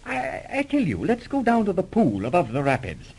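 A mild husband under his wife's thumb in an old radio play. He is gentle and eager. His delivery is soft-mannered and placating. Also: high voice, huge pitch swings, brisk, creaky, breathy edge, full character voice. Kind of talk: 1930s radio drama acting.

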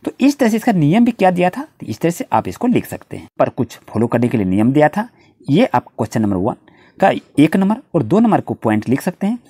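Husky Hindi-speaking tutor with swooping pitch. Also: mellow, dry recording. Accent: Indian. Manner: addresses students directly, repetitive explanatory phrasing. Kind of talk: lesson, reading out questions and answers.

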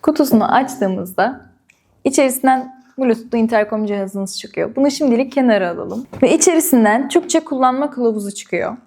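A woman's voice: a little high, a little fast, darkish tone. A woman presenting a product review and unboxing, explaining step by step and addressing viewers directly.

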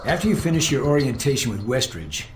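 An actor playing a cold, condescending older intelligence handler in a video game, speaking in clipped, precise, superior phrases. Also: male voice, mid-range voice, big pitch swings, quick pace, background noise, natural voice. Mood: dry, condescending. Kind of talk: video game dialogue acting. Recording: roomy echo with music underneath.

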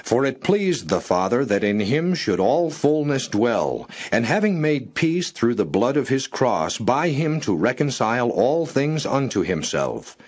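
A man giving a plain, measured reading of scripture, phrase by phrase. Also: medium pitch, big pitch swings. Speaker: scripture reader.

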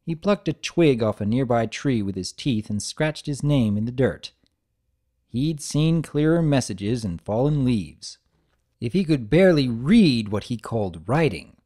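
A man's voice: medium pitch, mellow. A man reading a story aloud as narrator, in a scripted dramatic style.

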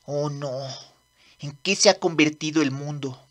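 A woman's voice: low-pitched, a little slow. A woman voicing a cocky young demon prince in a manga dub, brash and commanding. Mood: gloomy. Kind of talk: Spanish manga dub acting.

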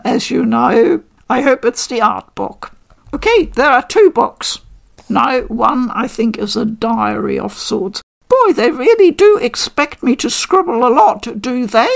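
An older woman hosting a casual unboxing review from her living room, chatty and measured with frequent pauses. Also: on the high side, gravelly. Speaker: game reviewer host.

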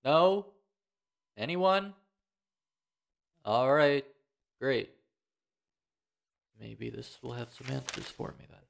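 A man's voice: mid-range voice, lively intonation, slow pace, creaky, long pauses, exaggerated voice. A man playing a young traveler in a fantasy audio roleplay, muttering tentatively to himself. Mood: curious, hesitant. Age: young adult. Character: young male traveler exploring an abandoned tavern, unsure.

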